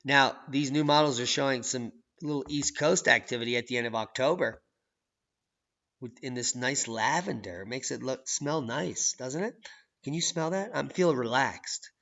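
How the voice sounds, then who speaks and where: A man hosting a channel, reading news and weather maps with sarcastic, profane commentary that swings from low mutters to loud outbursts. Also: moderate pace, muffled recording. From United States. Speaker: channel host.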